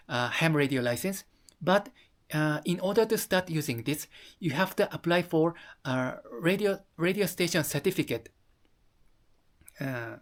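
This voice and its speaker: A person from Japan with a Japanese accent presenting an explanatory talk, speaking haltingly and often rephrasing. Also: mid-range voice. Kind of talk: explanatory talk to camera audience.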